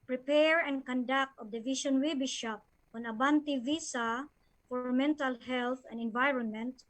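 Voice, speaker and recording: A woman with a Filipino accent giving a formal, measured presentation read from slides. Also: high-pitched, low-quality recording. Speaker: education official presenting a plan.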